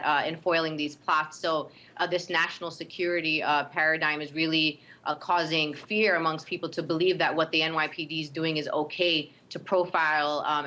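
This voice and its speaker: A woman with a Brooklyn accent speaks as an advocate being interviewed. Her voice is notably low for a woman, with a bright, forceful edge. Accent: Brooklyn New York. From Brooklyn, New York. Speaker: interview guest, community advocate.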